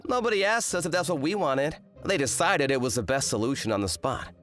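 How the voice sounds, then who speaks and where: A man's voice: on the high side, expressive, a little fast, a bit bright, soft background music. A man playing an exasperated eighteen-year-old student in a manga rom-com dub, complaining and narrating with indignant drama. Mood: wry narrating. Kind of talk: manga dub acting and first-person narration.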